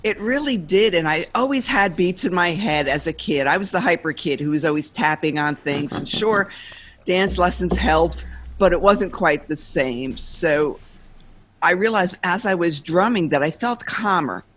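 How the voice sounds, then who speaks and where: A woman being interviewed as a guest, telling her story in a lively way and laughing between thoughts. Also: lowish voice, moderate pace. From United States.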